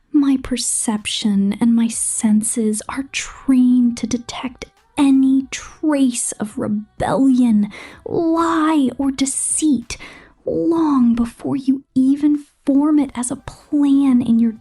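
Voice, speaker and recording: A woman voicing a possessive succubus pop star in an ASMR roleplay, seductive and menacing, speaking slowly and intimately in breathy whispers. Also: sits high, mellow, heavily acted. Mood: condescending, menacing. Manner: slow, deliberate, intimate.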